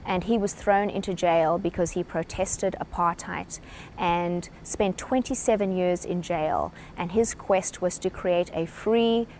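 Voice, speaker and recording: A woman with a South African accent speaks in a somewhat low voice, measured and earnest as she explains. A faint low rumble sits under the recording. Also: darkish tone. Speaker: presenter. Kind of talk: short talk to camera on leadership.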